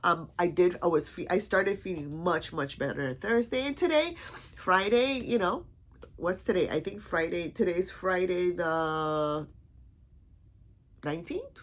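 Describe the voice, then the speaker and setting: A woman's voice: on the low side, unhurried. Congested, rambling woman. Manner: rambling, many restarts and fillers. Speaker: vlog host. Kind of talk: casual chat.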